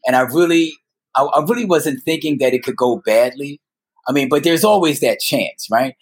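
A man in his fifties telling personal stories as a podcast guest, speaking earnestly and haltingly, repeating words for emphasis. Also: mid-range voice, husky. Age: 50s. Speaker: guest storyteller. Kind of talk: personal storytelling in a conversational interview.

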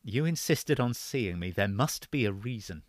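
A man's voice: fairly low pitch, animated, normal speed, dry recording, studio quality. A man reading the part of an adult son who speaks earnestly and directly.

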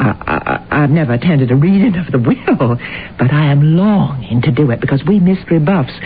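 An actress playing an eager, nosy and self-assured amateur sleuth in an old-time radio drama, with an excitable, stammering delivery. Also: female voice, fairly low pitch. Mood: eager, impatient. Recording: tinny, noisy old radio recording.

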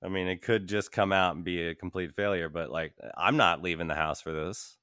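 A man's voice: sits low, fairly even intonation, fairly quick, sharp tone, smooth, no room sound, studio quality. A man chatting casually on a podcast about movies, speaking in an opinionated way.

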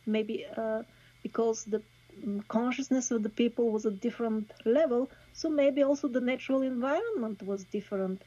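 A woman speaks as a guest, her voice fairly high but mellow and dark. She talks slowly and thoughtfully, pausing and restarting often. The sound is dull and lo-fi, as if it came over a remote line. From Bulgaria. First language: Bulgarian. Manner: halting, thoughtful, many pauses and restarts. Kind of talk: casual interview talk.

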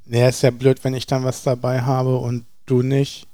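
A man's voice: mid-range voice, completely flat delivery, normal speed, dark tone, dry recording. A man co-hosting a podcast in casual, teasing conversation. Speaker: podcast co-host. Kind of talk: casual chat.

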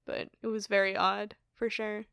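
A woman's voice: medium pitch, little pitch movement, moderate pace, gravelly, fairly bright tone, smooth, dry recording, clean studio sound. A young woman co-hosting a podcast, chatting casually, saying "like" often and laughing easily. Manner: chatty, laughs a lot, lots of "like". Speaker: podcast co-host. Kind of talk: casual chat.

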